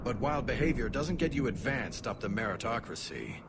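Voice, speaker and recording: A skeptical alien officer in a sci-fi game. He is formal, medium in pitch with lively movement, amid loud battle noise. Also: fairly quick. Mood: doubtful, formal. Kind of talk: cinematic sci-fi game acting.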